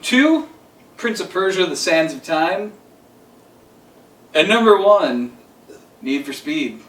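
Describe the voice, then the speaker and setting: Echoey distant pickup, higher-pitched man, podcast host, casual, jokey, informal countdown chat. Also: animated, slow, a bit bright. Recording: echoey room, distant microphone.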